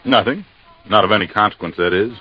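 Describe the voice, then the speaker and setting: An American actor playing a matter-of-fact, folksy Coast Guard captain explaining things in a 1950s radio drama. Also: male voice, lowish voice, quick pace, breathy edge, no echo. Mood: chatty. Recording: dull, dry vintage radio recording.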